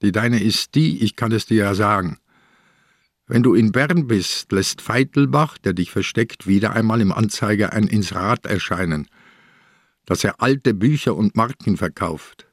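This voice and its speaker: An actor playing an old, shrewd police inspector in a radio crime drama, with a Swiss accent and a dry, chiding, matter-of-fact manner. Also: male voice, fairly low pitch, expressive, a little slow, no room sound. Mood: dry, teasing. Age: older.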